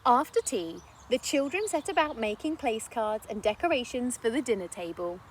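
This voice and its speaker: A woman narrating a children's story in an expressive read-aloud style. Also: on the high side, normal speed, a little hiss or hum. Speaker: storybook reader.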